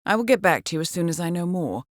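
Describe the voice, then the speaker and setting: A woman's voice: lowish voice, very animated, fast, dry recording. A woman playing a composed intelligence handler in a video game, briefing an assassin formally and with dry wit. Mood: cool, businesslike. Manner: composed, formal, dryly witty. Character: poised female intelligence handler briefing an assassin, cool and wry. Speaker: mission handler.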